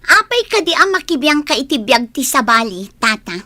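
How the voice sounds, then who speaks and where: A woman's voice: sits high, very animated, normal speed, pushed and bright, no echo, studio quality, full character voice. A woman playing a stubborn, defiant young village maiden in a radio drama, with pert, emphatic delivery. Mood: defiant, irritated.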